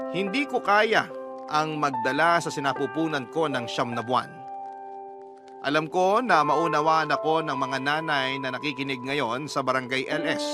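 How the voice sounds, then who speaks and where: Male radio drama narrator: slightly high voice, confiding and earnest, prominent music bed. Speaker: radio storytelling host. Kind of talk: dramatic first-person story narration. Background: loud background music.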